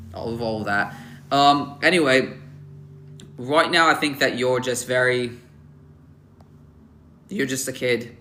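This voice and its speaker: A young man talking through a livestream mic with a bright, forceful voice. He gives blunt, offhand verdicts full of ums and restarts. He teases his chat in an easy, casual way. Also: medium pitch. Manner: joking, teasing the chat. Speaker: livestream host rating viewers' photos. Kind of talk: casual live-stream chat.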